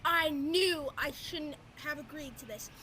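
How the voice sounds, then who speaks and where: An anxious, doubting survivor in a radio drama, played by a teenage woman. The voice is extremely high and quick to blame, in an echoey room with faint noise. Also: sharp tone. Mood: exasperated. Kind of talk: radio theatre acting.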